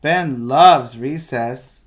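A middle-aged man, a preschool teacher, reading a storybook aloud and putting questions to his young pupils. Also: medium pitch. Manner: slow, deliberate reading with questioning asides. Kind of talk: children's story read-aloud with asides to pupils. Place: small plain room indoors.

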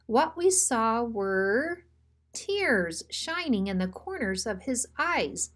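A woman's voice: a little high, sing-song, slow pace, fairly bright tone. A woman narrating a children's story expressively, as a school principal reading aloud.

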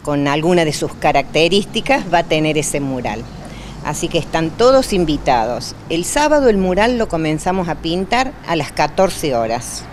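A woman in her sixties with an Argentine accent, speaking deliberately in a local news interview as a neighbourhood representative, repeating words for emphasis. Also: low-pitched, big pitch swings, a little hiss or hum. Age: 60s. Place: indoors in a community hall. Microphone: handheld interview microphone.

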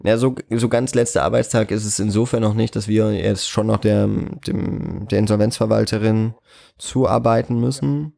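A man in a casual interview as a guest, slightly croaky from a cold, speaking in a hesitant, reflective way. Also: fairly low pitch, fairly even intonation, moderate pace, dark tone, dry recording, clean studio sound. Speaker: interview guest, cinema manager.

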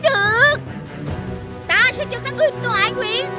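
Very high-pitched, scolding cartoon lady. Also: normal speed, music in the background. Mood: haughty, mocking. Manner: theatrical, scolding. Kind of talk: animated children's cartoon dubbing.

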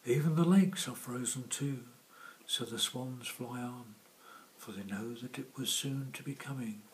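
A man reading a children's storybook in a hushed, measured manner. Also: medium pitch, rough recording. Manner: hushed, measured, often dropping to whispers. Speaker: author reading own story. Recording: faint background noise.

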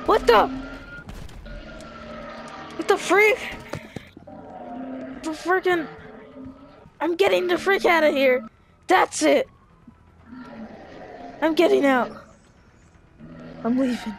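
An excitable, melodramatic young-sounding gamer improvising a zombie apocalypse roleplay over game sounds. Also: very high voice, takes it slowly, creaky, long pauses, slight background noise. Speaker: gaming video creator playing a roleplay character.

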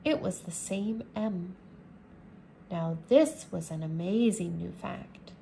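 A woman teacher reading a children's chapter book aloud, slowly and clearly, indoors at a table. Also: fairly low pitch, mellow, a little hiss or hum, low-quality recording. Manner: slow, careful, clear reading. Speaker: English teacher.

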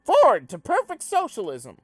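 Someone doing a fervent high-pitched alien revolutionary. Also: wildly swooping pitch, normal speed, no room sound, soft background music. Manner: fervent speechifying, exclamatory. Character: zealous male alien revolutionary, bouncy, oily.

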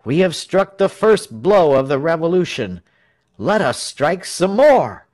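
An earnest, self-conscious folk hero, played by a male narrator, declaims like an untried orator. The voice is raised in pitch and swings widely, with halting pomp suited to a storybook. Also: very animated, a little mellow. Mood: proud, earnest. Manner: declamatory, halting speech-making. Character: bashful hero turned earnest, pompous public orator.

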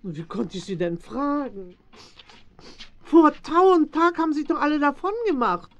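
A woman's voice: high voice, expressive, moderate pace. An actress playing a motherly farmer's wife in a German radio play, plaintive, emotional and fussing. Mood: upset, fretful. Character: distressed motherly farmer's wife, fretful but hospitable. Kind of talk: German radio play acting for young listeners.

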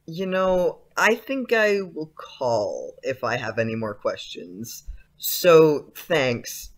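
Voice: medium pitch, very animated, takes it slowly, exaggerated voice. An actor playing a polite, skeptical, uneasy schoolboy in an audio play, speaking hesitantly. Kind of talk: audio drama acting.

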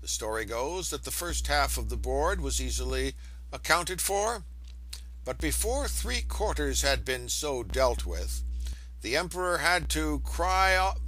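A man reading a lecture aloud in a deliberate, emphatic way. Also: a little high, a bit bright, low-quality recording. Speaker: reader of an audiobook lecture. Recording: home recording with faint background hum.

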